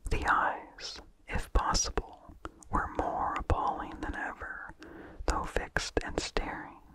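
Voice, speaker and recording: A person reading a vintage horror story as ASMR in an unvoiced whisper, slowly and deliberately, in short phrases with pauses. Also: poor audio. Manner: slow, deliberate, pausing between short phrases. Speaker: narrator.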